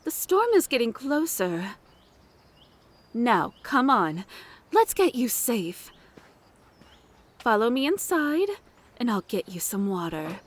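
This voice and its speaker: Woman acting as a mature motherly seductress, ASMR roleplay, higher-pitched voice, unhurried delivery, doting and coaxing. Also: a little hiss or hum. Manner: coaxing, doting, flirtatious, with sighs and pet names. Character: flirtatious mature motherly woman at a forest cabin.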